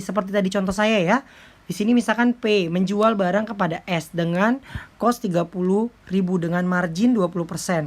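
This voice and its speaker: A woman giving an informal lecture as a teacher, explaining step by step with frequent check-in tags. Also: fairly low pitch. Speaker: accounting lecturer.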